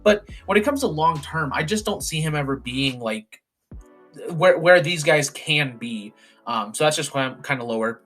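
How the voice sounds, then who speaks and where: A hoarse young man hosting a casual sports chat, rambling with lots of fillers and restarts. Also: on the high side, lively intonation, moderate pace, fairly bright tone, faint music behind. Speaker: podcast host doing a mock draft.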